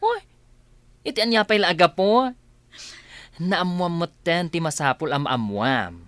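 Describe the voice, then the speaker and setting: A woman's voice: fairly low pitch, lively intonation, measured pace, no room sound. A woman with a Filipino accent acting a frank, nosy best friend in an exclamatory, teasing radio drama style. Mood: frank, advising.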